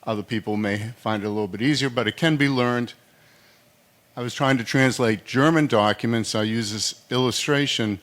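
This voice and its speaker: Man, audience questioner, chatty, long-winded, mid pitch. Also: moderate pace, a bit bright. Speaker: audience member asking a question. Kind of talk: question from the floor.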